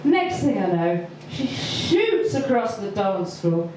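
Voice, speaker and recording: A woman doing stand-up, telling a story slowly with many ums and pauses. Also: mid-range voice, very animated, noisy background. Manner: hesitant, lots of ums, slow deliberate delivery. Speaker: stand-up comedian. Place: comedy venue with audience laughter. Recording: echoey far-off live room recording.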